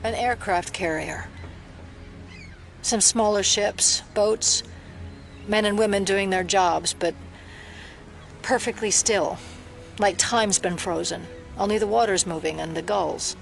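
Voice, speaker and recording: A serious female federal agent in an audio drama. Her voice has a marked creak, and she sounds controlled, skeptical and probing, with prominent music underneath. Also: medium pitch, takes it slowly, pauses often. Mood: hushed wonder.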